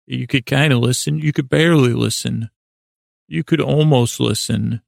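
A man with a heavily creaky, gravelly voice at a medium pitch, recorded very dry. He hosts a sleep podcast, meandering hesitantly through tangents and self-deprecating asides. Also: fairly even intonation, moderate pace, no room sound. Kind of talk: rambling, tangential podcast monologue.

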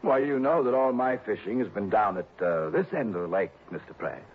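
An actor playing an uneasy, suspicious suspect with halting politeness in a 1960s radio drama. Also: male voice, lowish voice, animated, slightly rough. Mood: suspicious, nervous. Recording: tinny, noisy old radio recording.

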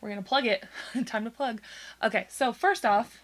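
A woman's voice: on the high side, moderate pace. A woman co-hosting a podcast in casual chat, giggling often and rambling between jokes. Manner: giggly, rambling, talks over her co-host. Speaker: podcast co-host.

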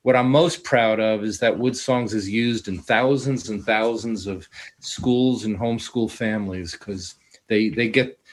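A man's voice: fairly low pitch, a little slow. Man, musician guest, casual chat, rambling, proud, video call. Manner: rambling, proud, self-deprecating. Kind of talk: casual talk show interview.

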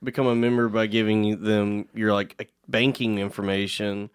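A young man co-hosting a podcast, chatting casually and hamming up a mock sales pitch. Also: little pitch movement, moderate pace, clean tone. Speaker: podcast co-host.

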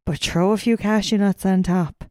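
A woman's voice: mid-range voice, fairly even intonation, normal speed, mellow, no room sound, studio quality. A woman with an Irish accent chatting casually as a podcast co-host, playful, informal and happy to swear. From Ireland. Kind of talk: casual banter.

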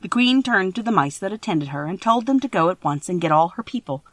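A woman's voice: medium pitch, expressive, a little fast, a bit bright, no room sound. A woman reading a children's story as scripted audiobook narration.

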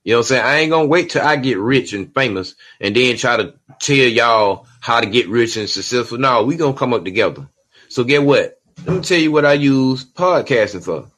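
This is a man hosting a solo podcast, with a voice set in a middle range of pitch. He talks in an emphatic, streetwise way that leans toward preaching. He keeps coming back to "you know what I'm saying". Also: expressive, brisk, a bit bright. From Georgia, USA. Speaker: podcast host.

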